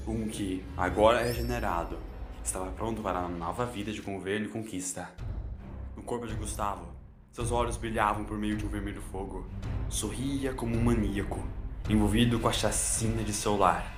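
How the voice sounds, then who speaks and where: A man's voice: on the low side, fairly even intonation, moderate pace. A man narrating a scripted dramatic story in a solemn, theatrical way. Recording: echoing voice over music.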